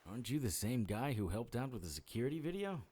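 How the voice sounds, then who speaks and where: A man's voice: mid-range voice, very animated, fast, mellow, husky. A man playing a battered, wry supernatural investigator in an audio drama, weary and dramatic. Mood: wry, confused. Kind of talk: audio drama acting with first-person monologue.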